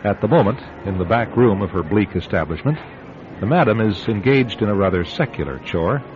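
A man acting as a solemn storyteller, giving deliberate, dramatic scripted narration for a radio drama. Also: fairly low pitch, animated, noisy background, close to an everyday voice. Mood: solemn, atmospheric. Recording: muffled old radio recording. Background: prominent music.

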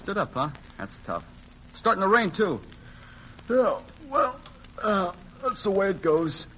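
An actor playing a chatty young elevator operator in a 1940s radio drama. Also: male voice, sits high, sing-song, unhurried. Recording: muffled hissy old radio recording.

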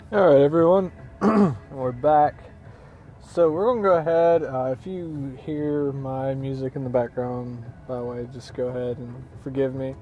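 A hoarse man giving a casual spoken lesson, halting with many fillers and clearing his throat often. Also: mid-range voice, fairly even intonation, dull audio. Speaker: teacher of runes.

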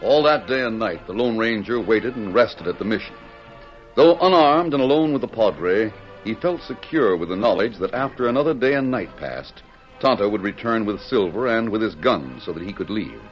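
A man's voice: on the low side, lively intonation, moderate pace. A man narrating an old-time radio drama in a solemn, suspenseful, resonant voice. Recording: dull vintage radio recording. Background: dramatic music.

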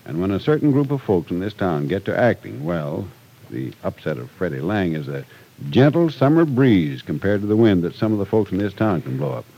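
A fatherly small-town man in a classic radio drama. His low voice is gravelly and swings widely in pitch as he frets and admonishes in a folksy way. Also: big pitch swings, a little fast, mellow, full character voice, rough recording. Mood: grave warning. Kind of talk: old-time radio drama acting.

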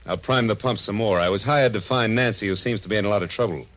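A man's voice: lowish voice, quick pace, fairly bright tone, close to an everyday voice. An American man playing a hard-boiled, sardonic private detective in a 1940s radio noir drama, with terse, wisecracking delivery. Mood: sardonic, pressing. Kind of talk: 1940s radio noir acting and first-person narration. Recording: muffled vintage radio recording with music.

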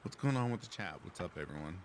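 A man with a deep, raspy voice hosting a livestream, chatting casually and answering comments from the live chat. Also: fast talker, noisy background. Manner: relaxed, chatty, reads chat aloud. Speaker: livestream host.

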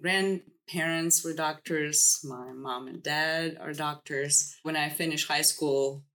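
A woman's voice: deep voice, steady tone, slow pace, fairly bright tone. A woman in her forties from Ukraine with a Ukrainian accent, whose first language is Russian, telling her story earnestly in an interview. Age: 40s. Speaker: guest. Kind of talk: interview conversation.

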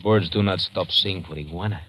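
An actor playing a watchful, loyal jungle guide in a radio adventure, with a Spanish accent and measured, simple, formal English. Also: male voice, sits low, natural voice. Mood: wary, hushed. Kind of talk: old-time radio adventure drama acting. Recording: noisy vintage radio broadcast recording.

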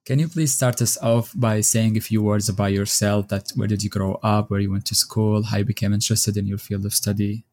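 Brief: male podcast host, deep and mellow, reads his scripted intro formally. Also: level tone. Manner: formal, measured reading. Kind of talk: scripted introduction and interview questions.

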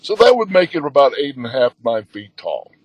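A man guest telling his story in a halting way with many fillers, a man with a booming voice. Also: big pitch swings, breathy edge, dry recording, muffled recording. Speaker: guest, expedition leader. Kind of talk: casual storytelling.

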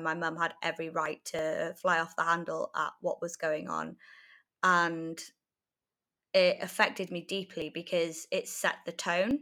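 A woman's voice: on the low side, fairly bright tone. A woman in her late twenties from North Wales, giving candid, articulate and emphatic interview answers in a reflective, conversational way. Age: late 20s. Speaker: interview guest, article writer.

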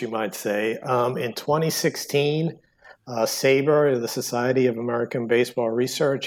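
Older guy from cuba being interviewed about his book, lots of uh and um, restarts his sentences a lot. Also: medium pitch. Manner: hesitant, full of fillers and restarts. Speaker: guest author. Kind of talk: podcast interview.

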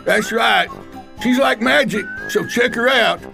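A man's voice: very high voice, measured pace, vocal fry, over music. A man reading a comic testimonial ad in a folksy, jokey manner. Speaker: voiceover student in a testimonial ad. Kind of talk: comic scripted ad read.

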